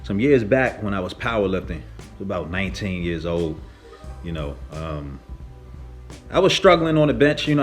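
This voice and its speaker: A man with a mid-pitched voice tells a casual story in a slangy, emphatic way. He talks like a gym vlogger chatting to his followers, with music playing behind him. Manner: animated, slangy, emphatic, profane. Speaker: fitness vlogger, powerlifter.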